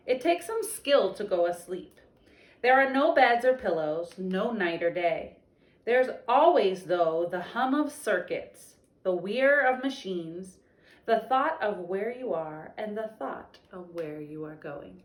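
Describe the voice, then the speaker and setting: A young woman teacher reading a picture book aloud to children, stopping for chatty explanations. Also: mid-range voice. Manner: expressive storybook reading broken up by chatty explanations. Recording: echoey room.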